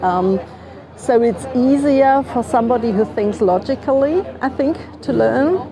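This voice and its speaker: A woman's voice: a little high, expressive, a little slow. German woman teacher, crowd behind. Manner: thoughtful, hesitant with fillers and restarts. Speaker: language teacher, interview guest. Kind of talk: casual interview conversation.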